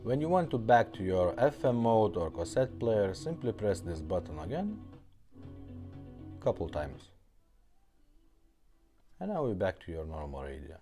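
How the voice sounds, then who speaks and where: Deep-voiced man giving a casual demo. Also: sing-song, unhurried, pauses often, mellow, soft background music. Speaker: repair shop presenter demonstrating a product. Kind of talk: casual product demonstration, explaining step by step.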